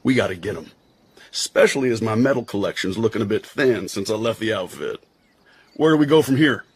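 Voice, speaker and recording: An actor playing a jaunty, irreverent American intelligence agent in a radio drama, husky and slangy, with an American accent. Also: male voice, lowish voice, animated, brisk. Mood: sober, sardonic. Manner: slangy, wisecracking.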